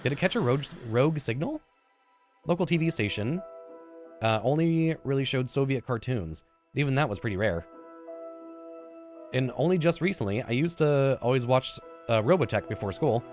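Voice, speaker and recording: Male let's player, 30s, animated narration of a story game with loose asides, music underneath. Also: mid-range voice, normal speed. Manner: informal, stumbles and rereads words. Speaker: gaming YouTuber, let's player. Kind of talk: reading visual novel narration aloud with casual comments. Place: indoor room, seated at a computer.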